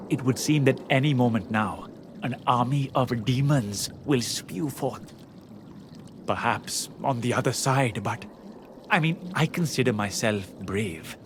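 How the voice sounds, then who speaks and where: A man's voice: medium pitch, natural voice. A man acting an anxious but loyal warden companion in a fantasy video game. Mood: hesitant, fearful. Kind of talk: conversational video game dialogue. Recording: clear background ambient noise.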